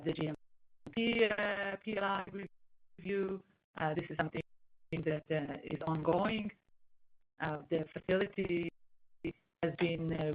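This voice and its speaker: A woman executive answering on an earnings call, explaining in a halting way as she searches for words. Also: lowish voice. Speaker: company executive. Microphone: conference call line. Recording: dull, lo-fi conference call line.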